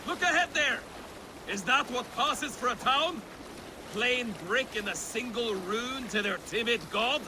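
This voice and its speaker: A scoffing, boastful Viking raider, pitched very high for a male. He talks like a game cutscene warrior hungry for plunder, over noisy water and boat sounds. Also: a little slow. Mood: excited. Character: brash, scornful Viking warrior man eager for plunder. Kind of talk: video game cutscene acting. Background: water and boat noise.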